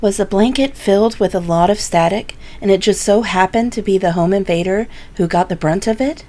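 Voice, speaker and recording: A woman's voice: mid-range voice, brisk, dark tone. A woman hosting a mystery anthology with scripted, theatrical, questioning narration. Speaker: host and narrator.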